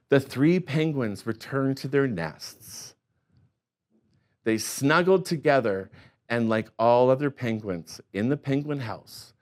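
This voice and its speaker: Slow, lively-voiced storytime reader, a man. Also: medium pitch. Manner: animated and expressive, with gasps and playful asides. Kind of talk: reading a picture book aloud to children.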